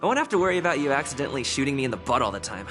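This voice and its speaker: A man's voice: medium pitch, big pitch swings, racing, natural voice. A man voicing a wry, teasing young male companion in a video game, with music under the voice.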